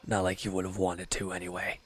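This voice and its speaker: A man plays a wounded villain in an audio drama, with a low voice. He is bitter and withdrawn, answering in curt, grudging phrases as if guarding himself. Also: monotone, quick pace, breathy edge. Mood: irritated, weary. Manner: curt, evasive, grudging, sighing. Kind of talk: scripted audio roleplay acting.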